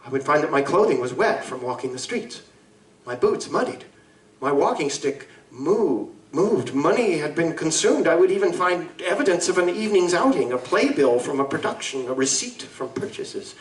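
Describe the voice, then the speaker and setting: A man playing an anguished Victorian gentleman scientist in a live audio drama, speaking formally, eloquently and deliberately. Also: on the high side, sing-song. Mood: troubled, regretful. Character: anguished gentleman scientist confessing to a monstrous alter ego. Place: live convention performance in a room. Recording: roomy live stage recording, distant mic.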